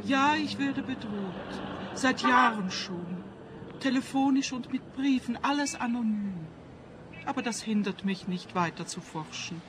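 A woman's voice: a little high, animated, unhurried, noisy background. An actress playing an older woman scientist in a radio play, anxious, obsessive and stubbornly defensive, speaking plaintively. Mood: defiant, plaintive.

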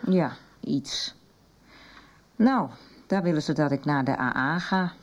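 A woman's voice: low-pitched, slow pace. An actress playing a troubled middle-aged mother in a radio soap, guarded and ashamed about her drinking. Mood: defensive. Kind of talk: 1990s Dutch radio drama acting.

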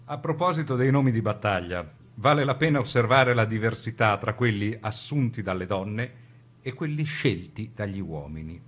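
A man delivering scripted dramatic documentary narration for radio in a measured, theatrical way. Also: fairly low pitch, lively intonation, fairly bright tone.

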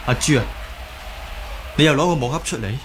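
An actor playing a concerned, good-natured young martial arts hero in a Cantonese radio drama, earnest and hesitant. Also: male voice, medium pitch, fairly quick, a bit bright, lightly acted. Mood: amazed, concerned. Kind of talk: Cantonese audio drama acting. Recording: clearly noisy background.

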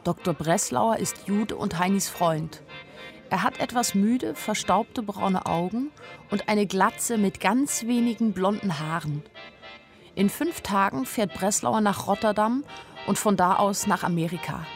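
A woman's voice: mid-range voice, unhurried, soft background music, natural voice. An actress in a radio play voicing an observant young woman who tells her story in an intimate, matter-of-fact way. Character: observant, plain-spoken young woman narrating her life in 1930s Germany. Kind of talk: first-person radio play narration.

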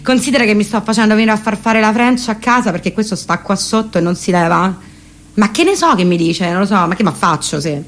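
A woman's voice: mid-range voice, fast talker, natural voice. A woman playing a snobbish, exasperated young Roman woman, gossiping and complaining melodramatically in a comic one-sided phone monologue. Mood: exasperated, melodramatic. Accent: Roman Italian.

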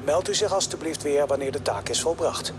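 A man's voice: fairly high pitch, fairly quick, lightly acted. An actor playing a formal, unemotional male service dispatcher giving clipped official instructions in a radio drama. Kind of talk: Dutch radio drama acting. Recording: noisy radio-drama scene, clear background noise.

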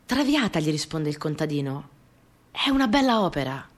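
A woman's voice: deep voice, very animated, moderate pace. An actress playing a young peasant girl who recounts her rural family life in simple, repetitive phrases for a radio play. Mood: storytelling. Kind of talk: first-person dramatic storytelling.

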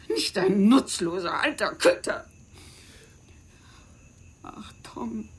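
An actress playing an embittered, self-pitying woman in a radio crime drama, intense and often dropping into breathy whispers. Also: fairly high pitch, darkish tone, full character voice. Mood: aggrieved, then brooding, obsessive. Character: embittered, self-pitying woman, a desperate mother who feels cheated.

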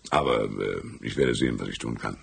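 Very deep, gravelly old constable. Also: male voice, a little fast, heavily acted. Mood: wry, genial. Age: older. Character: folksy old male small-town constable, shrewd and easygoing. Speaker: voice actor. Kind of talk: German radio drama dialogue.

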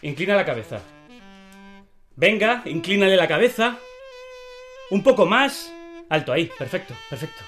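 Radio drama casting: bossy, impatient stage director, Castilian Spanish accent, curt repeated orders, music underneath. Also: male voice, high voice, very animated, moderate pace, pauses often, fairly bright tone. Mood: commanding. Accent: Spain. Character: imperious, impatient male theatre director. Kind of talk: radio theatre acting.

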